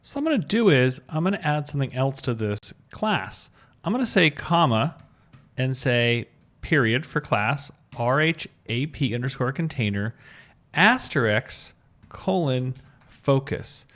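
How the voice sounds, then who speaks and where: Guy doing a laid-back screencast how-to, medium pitch, kinda thinking out loud step by step. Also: big pitch swings, unhurried. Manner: informal, thinks aloud while demonstrating. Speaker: tutorial presenter, web designer. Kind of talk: casual step-by-step screencast narration.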